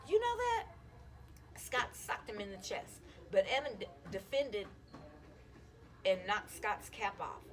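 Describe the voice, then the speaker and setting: A woman reads a children's storybook aloud in an expressive, animated way. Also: medium pitch, slow pace. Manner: animated, acting out the children's dialogue. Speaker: school counselor reading a children's book aloud.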